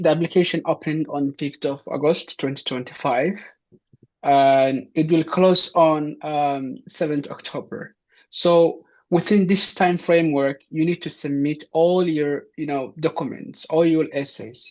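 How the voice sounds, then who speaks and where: Man, from Somalia, informational talk, hesitant, many fillers, slightly high voice, muffled lo-fi audio. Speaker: presenter. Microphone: video call. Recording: dull lo-fi online session.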